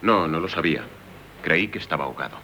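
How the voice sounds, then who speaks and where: An actor playing a proud, guarded imperial chamberlain of thirty-eight in 1970s radio theatre, curt and formal. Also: male voice, very deep voice, lively intonation, fast, pushed and bright, slight background noise, exaggerated voice. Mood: guarded, indignant. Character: proud, guarded 38-year-old male imperial court chamberlain.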